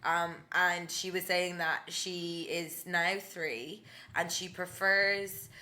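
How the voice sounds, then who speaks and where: A woman's voice: lowish voice, flat delivery, slow pace, bright, forceful tone. A woman co-hosting a casual podcast, chatting informally and inquisitively. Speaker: podcast co-host. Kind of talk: casual chat.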